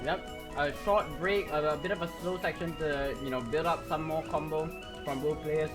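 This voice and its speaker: A man giving live esports commentary on a rhythm game tournament, excitable and going hoarse from shouting at the big plays. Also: a little high, normal speed, music in the background.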